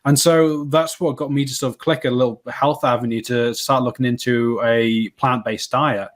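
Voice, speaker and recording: An easygoing man from Wales answers interview questions at a relaxed conversational pace. His pitch sits in an ordinary middle range, and he tells his stories with wry, self-deprecating humour. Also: moderate pace. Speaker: podcast guest.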